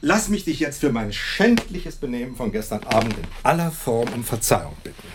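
An actor playing a brilliant, cheerfully superior gentleman detective in a German radio play, eloquent and suave with a wry condescension. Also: mid-range voice, very animated, normal speed, background noise, natural voice.

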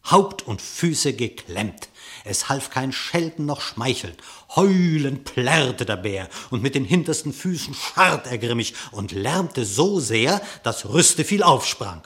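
A man narrating a verse fable theatrically, with dramatic, expressive declamation. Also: medium pitch, takes it slowly. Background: music.

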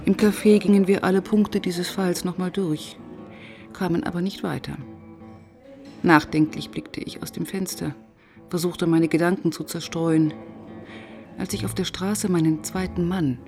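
An actress playing a dry, probing, twice-divorced police inspector in a German radio crime drama, measured and matter-of-fact. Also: female voice, lowish voice, steady tone, creaky, dark tone, soft background music. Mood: reflective narration.

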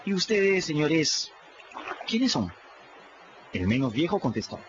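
A man with a Peruvian accent narrating a story in the first person in an expressive, storytelling manner. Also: on the high side, slow pace, noisy background. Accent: Peruvian Spanish. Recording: dull audio with music underneath.

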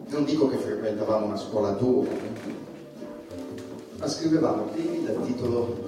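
A man playing an irreverent, provocative stand-up satirist, delivering a sardonic stage monologue with emphatic pauses. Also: medium pitch. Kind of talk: theatrical stand-up comedy monologue. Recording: echoey stage, distant mic, music behind.

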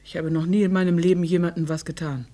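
A woman's voice: on the low side, flat delivery, fast talker, warm tone, no echo, close to an everyday voice. A woman playing a defiant old peasant woman in a German radio drama, curt and insistent as she guards her own ground. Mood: stubborn, protesting. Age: older.

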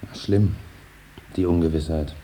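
Casting: evasive male grumbler, deep and very mellow, terse, vintage radio drama with faint hiss. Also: steady tone, unhurried. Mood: guarded, evasive. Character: evasive, grumbling man waiting for advice. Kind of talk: 1950s German radio play acting. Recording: faintly hissy 1950s radio recording.

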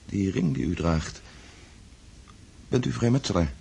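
An actor playing a wealthy young Russian count in a radio drama, unhappy and doubting, speaking in a polite, brooding, hesitant way. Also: male voice, lowish voice, lively intonation, measured pace, gravelly, dark tone, husky, full character voice. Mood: polite, guarded. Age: young adult. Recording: noisy old radio play recording.

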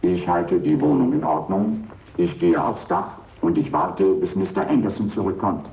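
An actor playing a dutiful, literal-minded old robot servant in a radio drama, speaking formally and politely. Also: male voice, low voice, normal speed, lightly acted. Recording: dull, muffled radio drama recording.

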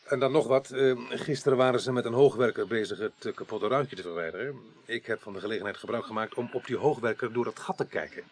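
An actor plays a senior police detective in a radio crime drama. He is practical and cautious, feeling his way through sentences in a conversational, medium-pitched voice. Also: quick pace, close to an everyday voice. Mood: practical, hesitant. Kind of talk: Dutch radio play acting.

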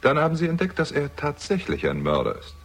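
An actor playing a shrewd, sardonic young man investigating in a German radio crime drama, with a husky voice and dry, ironic delivery. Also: on the high side, wildly swooping pitch, fairly quick, full character voice. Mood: accusing, controlled. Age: young adult.

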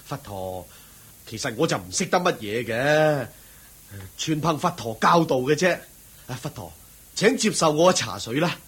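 An actor playing a poor, humble and excitable devout villager and husband in a Hong Kong Cantonese radio drama. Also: male voice, on the high side, normal speed, breathy edge, exaggerated voice. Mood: reverent, eager. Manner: deferential, excitable, chuckling and sighing.

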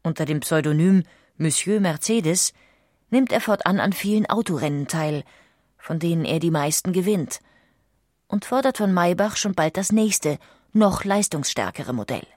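A woman narrates a scripted documentary in a measured storytelling manner. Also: lowish voice, lively intonation, a little mellow, no echo, studio quality.

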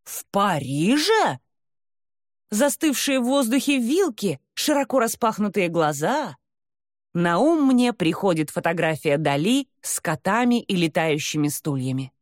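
A woman's voice: medium pitch, expressive, normal speed, no echo, clean studio sound. A woman narrating a novel in the first person, reading expressively with a dramatic touch. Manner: dramatic, acts out dialogue. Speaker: audiobook narrator.

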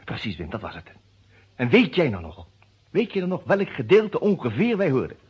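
Husky, excitable accused man, swooping. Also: on the high side, moderate pace, heavily acted. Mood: urgent, eager. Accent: Netherlands Dutch. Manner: excitable, emphatic, repeats words. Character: agitated, indignant male lawyer suspected of murder, pleading innocence. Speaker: actor in a detective radio play. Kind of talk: vintage Dutch radio drama acting. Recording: dull, muffled old radio recording.

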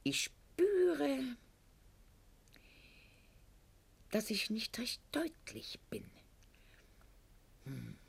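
An actress playing a proud, sharp-witted aristocratic lady in a radio play monologue, speaking with haughty irony and elaborate eloquence. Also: on the low side, breathy edge, heavily acted. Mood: exasperated.

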